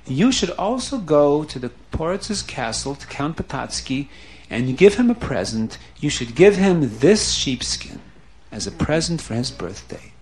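A man voicing a calm, wise old holy rabbi who gives instructions in an assured, gentle manner. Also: on the high side, unhurried, slight background noise, poor audio.

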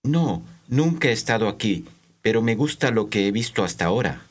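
A man reading scripted language-course dialogue, enunciating slowly and carefully for learners. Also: lowish voice, lively intonation.

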